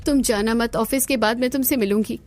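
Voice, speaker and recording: A woman voicing a gentle, earnest rich young woman. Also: fairly high pitch, fairly quick, natural voice. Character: kind rich young woman, CEO's daughter.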